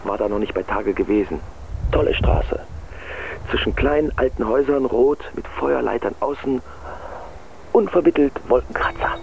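An actor playing a German artist in a radio play, listing big-city impressions in clipped, observational fragments. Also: male voice, medium pitch, moderate pace, gravelly, narrow, tinny audio, a little hiss or hum, close to an everyday voice. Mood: observing, impressed. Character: male German artist recording sharp impressions of bustling New York.

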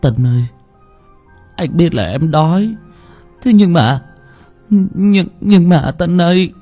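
A man's voice: fairly high pitch, expressive, slow pace, mellow, faint music behind, voice pushed up. A man voicing a frightened, starving young boy who shrieks in pain and stammers at his sister. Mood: stammering, shaken.

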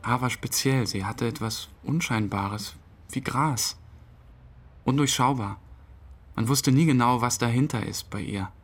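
Male lead, radio drama, played by an actor: wry, grieving, confiding, medium pitch. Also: a little slow, lightly acted. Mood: wistful, reflective. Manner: reflective, dry, confiding. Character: abandoned man, architect, bitter, wry, quietly grieving. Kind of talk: interview-like radio drama monologue.

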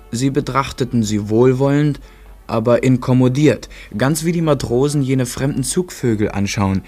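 A man's voice: mid-range voice, a little mellow. Man, radio play narrator, mid-range voice, composed, articulate literary reading.

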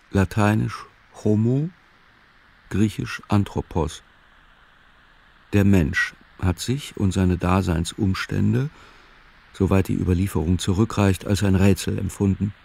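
Gravelly, brooding solitary man. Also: low-pitched, darkish tone, full character voice. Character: brooding solitary man musing over encyclopedia facts. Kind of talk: literary radio play monologue.

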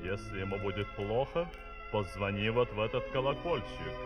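An actor playing a pompous, scheming, commanding gentleman in a Soviet children's comic opera. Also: lowish voice, normal speed, lightly acted. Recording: reverberant vintage vinyl record, music behind.

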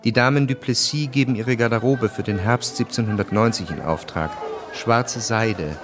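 A man acting as a sober radio play narrator. He delivers each phrase in a measured, declarative way, with clear music behind him. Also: lowish voice, lightly acted. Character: sober narrator reading chronicle and decrees. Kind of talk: scripted radio drama narration.

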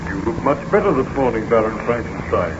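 An actor playing a courteous, inquisitive ship captain in formal 1930s radio drama style. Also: male voice, on the low side, lively intonation, brisk, natural voice. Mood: courteous, curious. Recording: muffled, noisy 1930s radio recording.

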